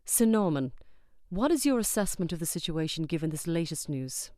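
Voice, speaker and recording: A woman's voice: on the low side, big pitch swings, normal speed, mellow, dry recording, clean studio sound. A woman playing a serious news anchor, reading breaking news in a formal, composed way for a drama. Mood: composed, urgent.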